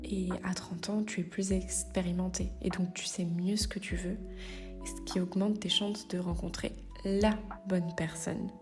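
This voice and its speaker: A woman's voice: on the low side, flat delivery, unhurried, over music. A woman hosting a motivational podcast, speaking straight to the listener in a familiar, direct way with the odd hesitation. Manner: direct, addresses listener informally, occasional hesitations. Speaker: podcast host. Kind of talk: motivational solo podcast talk.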